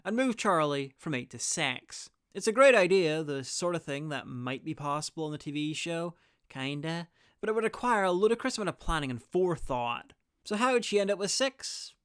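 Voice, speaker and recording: A man's voice: on the high side, animated, moderate pace, a bit bright, smooth, no room sound. A man presenting a scripted guide, narrating with dry, opinionated asides. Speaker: video presenter and reviewer.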